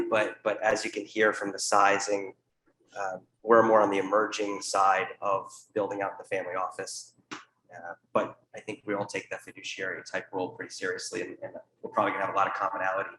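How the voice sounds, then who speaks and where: A man's voice: lowish voice, a bit bright. A young man speaking on a panel in a candid, casual way, often repeating words. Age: young adult. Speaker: family office investor, panelist. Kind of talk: conference panel remarks. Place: conference room with live audience. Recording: echoey room, faint hum.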